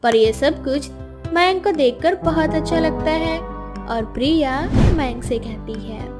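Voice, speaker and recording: A woman's voice: high-pitched, a little slow. A woman narrating a scripted moral story as a storyteller. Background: clear background music.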